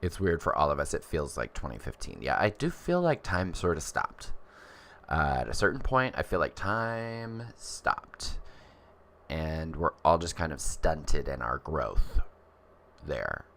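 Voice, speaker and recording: Guy in his 30s, low voice, chatty and rambly, reads chat comments, pitch jumps all over. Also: very animated, measured pace, gravelly, a bit bright. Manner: chatty and rambling, many fillers. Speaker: livestreamer reading viewer comments. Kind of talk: casual livestream chat.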